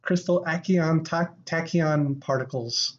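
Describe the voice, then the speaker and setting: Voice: medium pitch, slow, highs cut off. A podcast host improvising a comedy audio adventure in casual banter, with a rambling, playful way of talking. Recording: noisy background.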